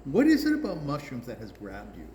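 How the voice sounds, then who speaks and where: A man's voice: medium pitch, wildly swooping pitch, fairly quick, warm tone. A man hosting a casual chat, asking questions with a wry touch. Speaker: host. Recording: echoey hall with faint noise.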